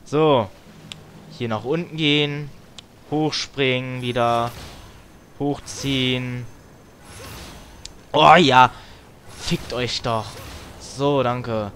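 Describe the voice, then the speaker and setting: A man's voice: medium pitch, steady tone, extremely slow, long pauses, a bit bright, a little hiss or hum. A man giving casual, grumbling let's play commentary as he games, with some tongue clicking. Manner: grumbling, mild swearing. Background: video game music.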